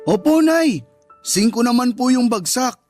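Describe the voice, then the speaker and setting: A high-voiced Filipino teenage boy, respectful yet defensive and pleading, as in a radio drama. Soft music runs underneath. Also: animated, measured pace. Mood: defensive, sheepish. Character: teenage student son, respectful but defensive. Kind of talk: Tagalog radio drama acting.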